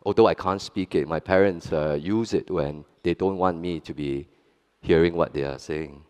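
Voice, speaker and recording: A man's voice: low voice, normal speed, darkish tone, clear, resonant tone. A middle-aged man from Singapore with a Singaporean English accent, whose first language is Hokkien, speaking earnestly on a conference panel with frequent restarts and wry asides. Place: large conference hall. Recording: reverberant conference hall.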